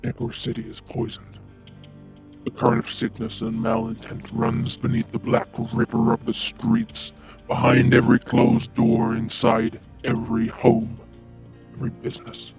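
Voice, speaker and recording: Brooding masked vigilante blogger, heavy fry, dramatic swings in pitch, ominous delivery over prominent music. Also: male voice, very animated, unhurried, exaggerated voice. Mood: ominous, grim. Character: grim anonymous masked male conspiracy blogger, brooding. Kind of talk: dramatic monologue in an audio drama. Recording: music behind the voice.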